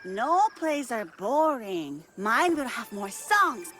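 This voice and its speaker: A woman voicing an excitable, dreamy young girl with big plans in a dramatic video game. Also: a little high, slow, slight background noise, full character voice. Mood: excited, dreamy.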